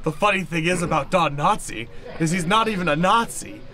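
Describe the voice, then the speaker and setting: A man's voice: high voice, brisk, bright, forceful tone. A man playing a wry professor with a dry, knowing, mocking manner in an improvised old-time radio play. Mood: musing. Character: wry, sardonic male professor turned amateur sleuth.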